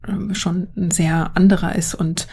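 Female co-host, low steady voice, flat delivery, thoughtful asides. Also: unhurried, slightly rough. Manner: thoughtful, reflective, with many fillers. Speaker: podcast co-host. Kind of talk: casual history podcast chat.

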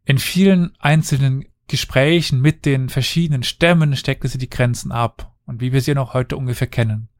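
A man's voice: mid-range voice, fairly even intonation, normal speed, few pauses, dry recording. Male podcast host, storytelling history, dry close-mic tone. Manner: storytelling, explains at length. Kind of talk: casual history podcast chat.

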